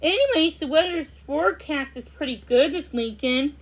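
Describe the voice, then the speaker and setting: A woman's voice: sits high, animated, normal speed, pitched above the performer's own voice, rough recording. A woman voicing a kindly mother in a storybook, cheerful, coaxing and teasing.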